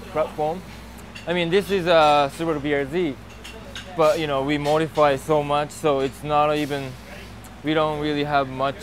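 A man in his thirties, a professional drift driver, giving a relaxed and halting outdoor interview on a lapel mic at a racetrack paddock. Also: fairly high pitch. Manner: relaxed, halting, many fillers. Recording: noisy outdoor racetrack recording.

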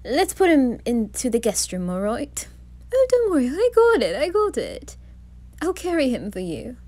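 A woman puts on a camp, theatrical male grim reaper in an ASMR drama. The voice sits high and swoops widely, gushing and fussing in a flirty sing-song. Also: huge pitch swings, moderate pace, darkish tone, exaggerated voice. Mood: chatty, coaxing. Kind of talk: ASMR roleplay acting.

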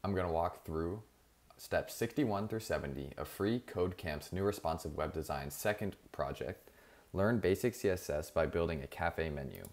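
Man, very low voice, casual coding walkthrough, fillers and restarts, voice-over. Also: moderate pace. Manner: casual and relaxed, with frequent fillers and self-corrections. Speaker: coding tutorial host. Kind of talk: screen-recorded coding walkthrough. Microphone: voice-over over screen recording.

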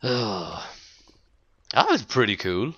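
A man's voice: medium pitch, wildly swooping pitch, takes it slowly, a bit bright, husky, dry recording, lo-fi sound. A young man casually chatting and joking while playing a game with a friend, with playful asides. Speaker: gamer co-host. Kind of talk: casual let's-play chat.

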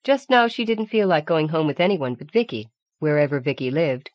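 Female audiobook narrator, low register, scripted fiction read, dry studio sound. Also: big pitch swings, fairly quick, no echo, muffled recording.

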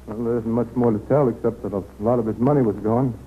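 Actor as earnest bereaved brother, muffled radio. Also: male voice, medium pitch, steady tone, fast talker, lightly acted. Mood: sober, earnest. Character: murdered man's brother, earnest, plain-spoken. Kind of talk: 1930s radio acting. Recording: muffled, hissy 1930s radio recording.

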